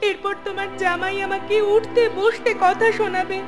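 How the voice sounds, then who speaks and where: Casting: complaining young cartoon daughter, high-pitched, bitter and whining at her parent. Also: steady tone, normal speed, loud music behind the voice. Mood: tearful reproach. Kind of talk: Bengali cartoon voice acting.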